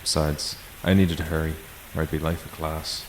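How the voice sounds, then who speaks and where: Man playing a low-voiced, weary male teacher. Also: flat delivery, normal speed, slight background noise. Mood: mildly annoyed. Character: weary, aloof adult male math teacher. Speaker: narrator and lead character. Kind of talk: visual novel fandub, first-person narration and dialogue. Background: music and rain sounds.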